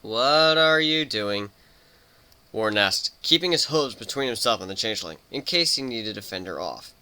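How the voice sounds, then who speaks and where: A man's voice: lowish voice, sing-song, fairly quick, sharp tone. A man narrating a story as a scripted dramatic reading.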